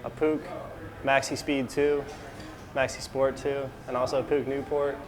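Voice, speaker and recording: A man's voice: mid-range voice, level tone, unhurried. A man in his twenties giving casual answers about himself in an interview. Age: 20s.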